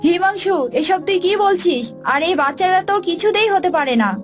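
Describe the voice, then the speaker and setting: Woman playing a high-voiced, scornful older village mother. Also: expressive, moderate pace. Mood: shocked, scolding. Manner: scornful, scolding. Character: stern, indignant older village mother condemning her daughter-in-law. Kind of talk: cartoon dialogue acting. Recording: music bed behind voice.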